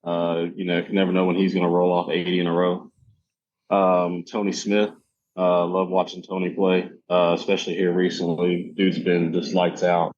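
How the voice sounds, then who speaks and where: A man answering questions as a podcast guest in casual chat, speaking in halting, hesitant phrases. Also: low-pitched, completely flat delivery, a little mellow, muffled recording. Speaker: podcast guest, competitive cornhole player.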